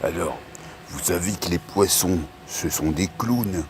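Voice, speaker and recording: A man telling pun jokes in a slow, improvised comedy monologue, laughing at his own lines. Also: sits low, noisy background.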